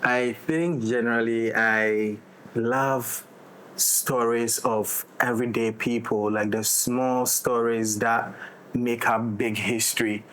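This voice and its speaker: A man's voice: medium pitch, fairly even intonation, takes it slowly, fairly bright tone, a little hiss or hum, low-quality recording. A man talking reflectively as a director in a discussion of creative work, in short broken phrases. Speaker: director of an audio drama.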